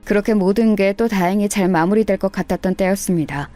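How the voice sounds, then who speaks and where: A woman's voice: mid-range voice, quick pace, mellow, soft background music. A woman narrator reading a scripted first-person story in the style of a radio tale.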